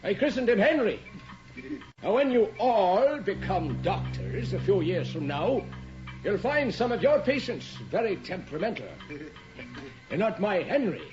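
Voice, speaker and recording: A man's voice: sits high, very animated, measured pace. An actor playing a male anatomy professor who lectures theatrically and relishes grim jokes. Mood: gleefully morbid. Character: macabre male anatomy professor, gleefully morbid. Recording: dull old radio play with music.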